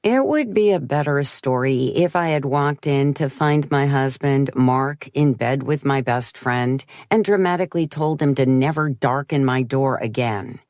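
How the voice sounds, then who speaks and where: A woman with a low voice narrates a first-person story in a wry, understated way. The recording is close and dry, with no room sound, in the manner of an audiobook. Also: a little slow, hardly any pauses, a little mellow, dry recording.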